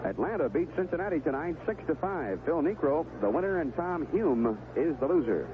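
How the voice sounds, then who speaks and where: A man's voice: a little high, very animated, brisk, phone-quality sound, noisy background. An American man calling baseball play-by-play in a live radio commentary style, on an old 1970s broadcast. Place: stadium broadcast position.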